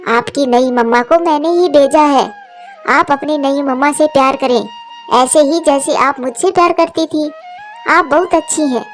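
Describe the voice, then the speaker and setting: A woman plays a loving mother bird in a cartoon tale. Her voice is very high and tender, patient and soothing as if to a small child. There is faint music underneath. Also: a little slow. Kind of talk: Hindi cartoon story acting.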